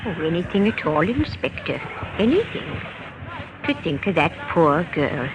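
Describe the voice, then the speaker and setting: An actress playing a chatty, eager village postmistress in a vintage radio drama. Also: female voice, on the low side, measured pace. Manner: talkative, helpful, expressive. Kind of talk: 1950s radio drama acting. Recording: muffled, noisy old radio recording.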